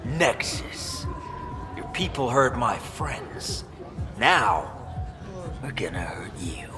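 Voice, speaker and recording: A vengeful tough in a video game, menacing and extremely slow. His pitch swings widely in a reverberant space, with clear music underneath. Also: very animated. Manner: threatening, drawn-out. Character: menacing, vengeful male bar thug.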